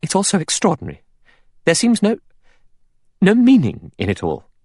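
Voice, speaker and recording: Man as a nervy young woman, raised pitch, swooping, agitated, faltering, storybook heroine. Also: huge pitch swings, moderate pace, a little mellow, breathy edge, no echo, clean studio sound, exaggerated voice. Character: anxious, highly strung young woman close to panic.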